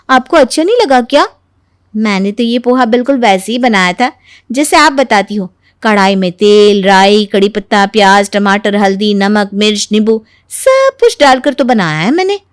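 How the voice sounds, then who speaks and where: A woman playing a sly young Indian daughter-in-law in an animated moral story, eager, insistent and full of mock innocence. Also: on the high side, normal speed, clear, resonant tone, no room sound, studio quality, natural voice. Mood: feigned surprise.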